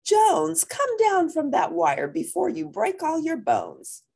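A woman's voice: very high-pitched, wildly swooping pitch, slow pace, creaky, darkish tone, pitched above the performer's own voice, full character voice. A woman voicing a worried, frazzled mother Siamese cat who wails and scolds in a storybook. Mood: alarmed wailing. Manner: wailing, groaning, exclamatory delivery.

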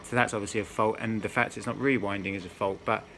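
A man's voice: fairly low pitch, fairly even intonation, fast talker, fairly bright tone. A man casually talking through a demonstration in a hesitant, rambling, self-correcting way. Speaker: video maker. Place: indoors at a cluttered desk. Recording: noisy room, clear background noise. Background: motor hum and neighbours' racket.

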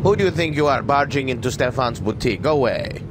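An actor playing a vain, effete European fashion consultant in a video game, haughty and speaking of himself in the third person. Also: male voice, mid-range voice, animated, fast, lightly acted. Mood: haughty, dismissive. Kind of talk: video game character acting. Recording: loud game music, car and street noise behind.

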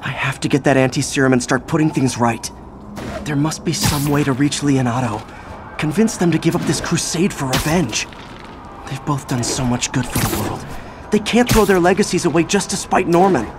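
An actor playing a young, earnest superhero in conversational video game drama. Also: male voice, on the high side, expressive, moderate pace, background noise, close to an everyday voice. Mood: determined. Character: young male superhero, earnest, burdened, quippy.

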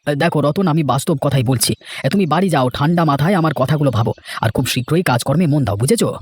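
A man playing a sensible, kindly village man in a cartoon, reasoning and gently admonishing. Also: on the high side, animated, rapid-fire, husky. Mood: concerned, advising. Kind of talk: children's cartoon voice acting.

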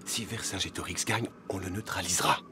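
Guy acting as low voiced scheming gaulish noble, hushed n conspiratorial, animated history drama, noisy ambience behind. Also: fairly quick, close to an everyday voice. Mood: calculating.

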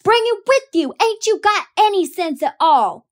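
Bossy, excitable young boy, high and loud, yelling orders at his sister , read by a woman. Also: normal speed, natural voice. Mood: panicked shouting. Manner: shouting, urgent, scolding.

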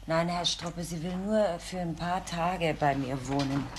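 A woman's voice: on the low side, a little slow, noisy background, lightly acted. An actress playing an anxious but resolute, protective woman in a crime radio drama. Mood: firm, strained.

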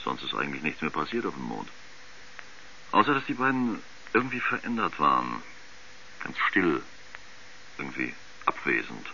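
An actor playing a subdued, pensive man who speaks haltingly in a radio drama. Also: very deep voice, big pitch swings, vocal fry, bright, forceful tone, lo-fi sound, exaggerated voice. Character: subdued, pensive man recalling a moon mission. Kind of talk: 1970s German radio drama acting. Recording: hissy, band-limited 1970s radio recording.

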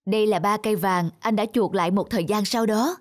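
A man playing a calm, kindly middle-aged elder brother who advises gently and in a measured way in an animated moral tale. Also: high-pitched, very noisy, lightly acted. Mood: gently advising.

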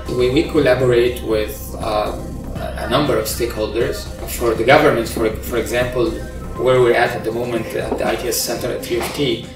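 A middle-aged man from Egypt, an engineering professor, explaining his research in a measured way with frequent ums and uhs, recorded in a large open-plan control center. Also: mid-range voice. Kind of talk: interview about his research. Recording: roomy, distant sound with music under it.

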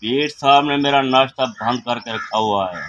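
A man's voice: medium pitch, normal speed, dull audio. A man with a Pakistani accent chatting casually and emphatically, repeating phrases as he makes his point. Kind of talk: casual chat, opinionated monologue. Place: outdoors in a village yard. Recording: outdoor phone recording with clear background noise.

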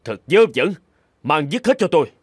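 Animated, emphatic cartoon medical man. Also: a little high, normal speed, breathy edge, no room sound, clean studio sound. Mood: haughty anger. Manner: emphatic, formal, dramatic. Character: cartoon men in a tense medical drama. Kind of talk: dramatic cartoon dubbing.

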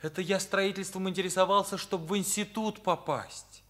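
A man's voice: sits high, steady tone, normal speed, a bit bright. An actor playing a troubled, sincere ninth-grade schoolboy in a radio drama, earnest, searching and hesitant in his delivery. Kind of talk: Soviet radio drama acting.

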